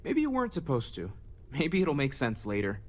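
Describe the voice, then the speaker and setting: An actor playing a kind, earnest scholar turned space explorer in a video game, speaking in a gentle, conversational way. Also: male voice, medium pitch, wildly swooping pitch, brisk. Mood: earnest, curious. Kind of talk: video game character dialogue.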